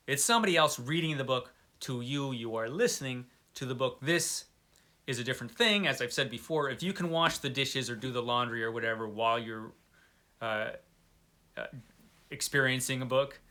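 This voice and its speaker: A man with a very bright, forceful voice at an average pitch chats informally like an online book reviewer. He states his opinions emphatically and circles back to restate them for stress. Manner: emphatic, repeats points for stress. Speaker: booktuber hosting a book tag video. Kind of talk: casual chat to camera, opinionated book talk.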